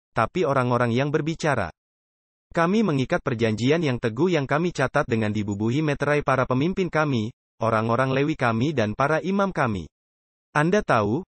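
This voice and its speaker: A man's voice: mid-range voice, dry recording. A man preaching a dubbed sermon earnestly, with emphatic rhetorical questions put to his listeners.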